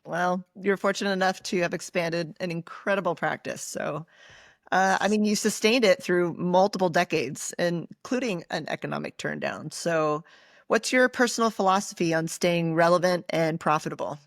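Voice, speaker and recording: A woman's voice: on the low side, moderate pace, creaky, no room sound, studio quality. A woman hosting a podcast interview, upbeat and reading prepared questions. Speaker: podcast host.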